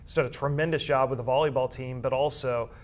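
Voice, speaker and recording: A man discussing high school sports in a conversational way, explaining at length with measured, slightly halting phrasing. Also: fairly even intonation.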